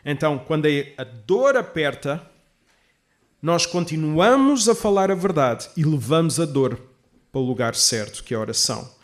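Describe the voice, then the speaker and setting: Higher-pitched male preacher. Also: very animated. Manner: didactic, measured with emphatic stresses and rhetorical questions. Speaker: pastor. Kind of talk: sermon, expository preaching to a congregation.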